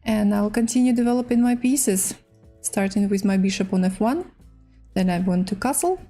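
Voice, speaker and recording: A woman with a Russian accent, a native Russian speaker, hosting a casual chess livestream in halting, hesitant English. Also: mid-range voice, dark tone, soft background music. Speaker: livestream host and chess player. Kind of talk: casual livestream chat while playing chess.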